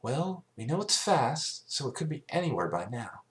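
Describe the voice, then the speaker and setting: A man voicing a brainy young female pony who reasons things out in a deliberate, explanatory way, in a story reading. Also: mid-range voice, big pitch swings, fairly bright tone, voice pushed up. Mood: reasoning.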